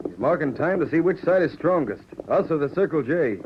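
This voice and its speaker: An actor playing a terse, short-tempered, scheming outlaw boss with an American accent in a 1940s Western radio drama. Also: male voice, fairly high pitch, animated, a little fast, close to an everyday voice. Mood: calculating. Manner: terse, gruff. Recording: muffled, noisy old radio recording.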